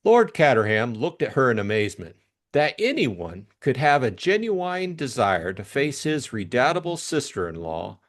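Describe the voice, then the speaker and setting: A man narrating a classic novel as an expressive audiobook reader. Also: mid-range voice.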